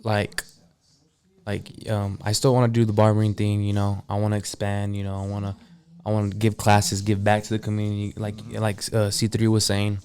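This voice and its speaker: A man's voice: on the low side, monotone, normal speed, a little mellow. A man in his early twenties, a barber, talking in a casual group chat and rambling with lots of "like" and "you know.". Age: 20s.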